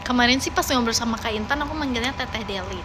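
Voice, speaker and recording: A woman's voice: fairly high pitch, fast, background noise. A woman of nineteen, chatting casually and playfully on a livestream, often repeating words as she answers viewers. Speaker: idol livestream host.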